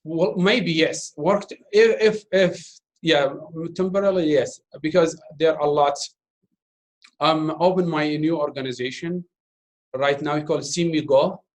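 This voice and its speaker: A man in his thirties, a native Arabic speaker from Iraq, answering interview questions in halting English full of fillers and restarts. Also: on the high side. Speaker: interview guest, doctoral student. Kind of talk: casual Q&A talk.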